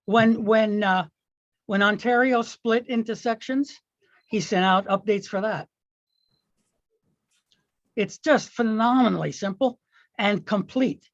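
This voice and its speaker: A man's voice: sits high, takes it slowly, long pauses, no echo. Man on a slightly dull, dry call. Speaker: meeting participant asking questions. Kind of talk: casual discussion.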